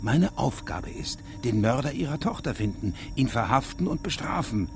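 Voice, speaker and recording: A man's voice: mid-range voice, big pitch swings, moderate pace, music in the background. A man playing a stern, pedantic federal judge in a radio drama, with formal, precise phrasing. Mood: stern, insistent.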